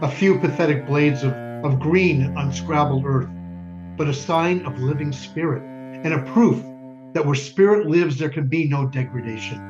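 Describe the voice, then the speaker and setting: A man's voice: a little high, echoey room, natural voice. Man acting a solemn husband narrating, muffled, over music. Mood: solemn, reverent. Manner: measured, solemn, poetic delivery. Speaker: community theater actor. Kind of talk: dramatic stage narration.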